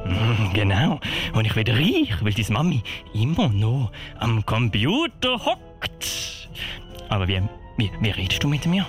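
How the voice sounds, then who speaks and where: A man's voice: fairly low pitch, huge pitch swings, a little slow, faint music behind. A man with a Swiss German accent playing a greedy, taunting, theatrical mad villain in a children's radio play. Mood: gloating, condescending. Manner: theatrical, taunting, repeats words for menace. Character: Doctor Zoom, gleefully greedy, gloating mad video-conference villain.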